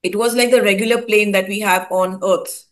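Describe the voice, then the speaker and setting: A woman's voice: mid-range voice, fairly even intonation, normal speed. A woman from India hosting a conversation, recounting a meditative experience with frequent hesitations and fillers. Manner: hesitant, many fillers and restarts. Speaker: host. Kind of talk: casual spoken account of a spiritual experience.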